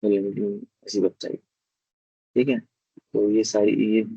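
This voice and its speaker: A hoarse male teacher giving a live online class, explaining step by step and often checking that students follow. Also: on the low side, little pitch movement, takes it slowly, dark tone, dry recording, highs cut off. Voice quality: husky, gravelly.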